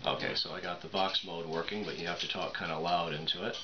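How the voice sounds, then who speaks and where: A man's voice: deep voice, level tone, fast talker. A man giving a casual product demonstration, thinking aloud in a tentative way as he fiddles. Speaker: product reviewer. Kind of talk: casual unboxing and demonstration talk. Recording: dull lo-fi recording, noisy with clicks and beeps.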